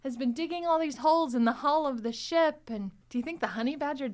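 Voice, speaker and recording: Animated woman chatting and retelling scenes. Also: high-pitched, a little fast. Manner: animatedly acts out quoted dialogue. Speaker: podcast host recapping an audio drama. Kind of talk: lively casual chat, retelling scenes.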